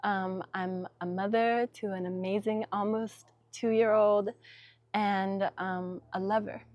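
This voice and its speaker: A woman's voice: medium pitch, fairly even intonation, slow pace, a little mellow, dry recording. A young woman from Denmark, a designer, talking casually in an interview outdoors in a vineyard, reflective and full of ums and likes with light laughter. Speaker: guest designer. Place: outdoors in a small vineyard on a summer evening. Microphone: noise-cancelling mic.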